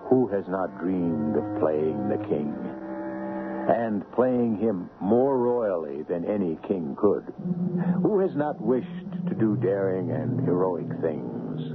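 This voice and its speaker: A man hosting a 1970s radio drama, narrating in a measured, formal, theatrical manner. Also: low voice, vocal fry. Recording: muffled old radio broadcast with music.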